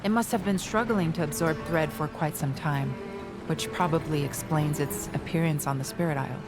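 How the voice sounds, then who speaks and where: A woman acts out a composed, learned sensei in a fantasy game cutscene. Her voice sits on the low side, formal and measured, with loud music playing underneath. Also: lightly acted. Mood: analytical. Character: composed, scholarly female sensei who studies monsters. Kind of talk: video game cutscene voice acting.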